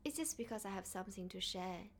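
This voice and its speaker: A woman from China with a Chinese accent, speaking earnestly and softly as the host of a reflective solo podcast. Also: medium pitch, animated, fast.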